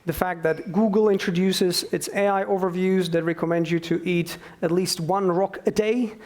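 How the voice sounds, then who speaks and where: Fairly high-voiced man giving a talk. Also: normal speed. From Slovakia. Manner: lively, many "um" fillers. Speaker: guest speaker, law professor. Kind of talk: lecture. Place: event venue.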